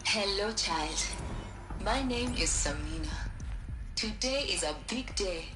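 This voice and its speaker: A woman voicing a gentle teacher hologram in a video game, speaking in a slow, encouraging way. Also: medium pitch, expressive, over music. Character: warm female teacher hologram greeting a child.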